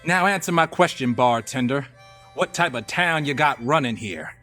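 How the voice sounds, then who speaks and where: A man's voice: medium pitch, expressive, moderate pace, pushed and bright, soft background music. Man acting blunt, thirsty Wild West gunslinger. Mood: demanding. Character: thirsty gunslinger wandering the desert, blunt and demanding. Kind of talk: improvised radio storytelling.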